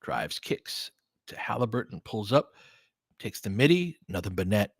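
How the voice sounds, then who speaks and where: A man's voice: on the low side, sing-song, a little slow, slightly rough, dry recording. A man doing casual live basketball play-by-play as a stream host, speaking conversationally in short, clipped phrases. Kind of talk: casual live basketball commentary with fan chat.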